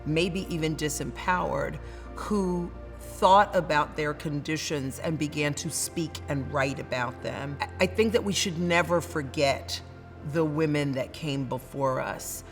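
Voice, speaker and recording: A woman's voice: low voice, unhurried, in a large hall, soft background music. A woman literature professor with a Northern US accent, speaking earnestly and building her points through repeated phrases. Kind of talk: dramatic reading then reflective commentary.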